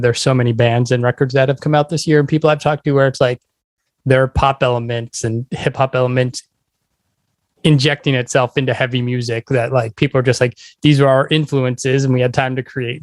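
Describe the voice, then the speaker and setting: A man's voice: mid-range voice, no echo, studio quality. A man hosting a podcast, chatting casually as an interviewer.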